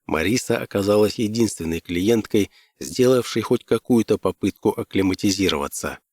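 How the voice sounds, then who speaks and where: A man's voice: fairly low pitch, moderate pace, darkish tone, dry recording, studio quality. A man reading scripted first-person fiction as an audiobook narrator.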